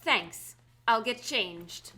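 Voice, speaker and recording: High bright brisk heroine played by a woman. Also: lively intonation, a little hiss or hum, full character voice. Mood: brisk, determined. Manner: brisk, commanding. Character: brisk, decisive socialite turned masked vigilante. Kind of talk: live radio theatre acting.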